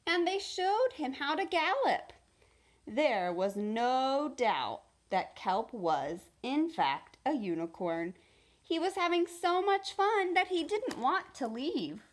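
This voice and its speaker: A woman reading a children's storybook aloud like a teacher, in an expressive, deliberate storytelling manner. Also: high-pitched.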